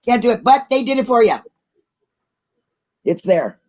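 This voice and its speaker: A woman's voice: fairly high pitch, sing-song, a little slow. A woman hosting a casual live stream in a playful, chatty way.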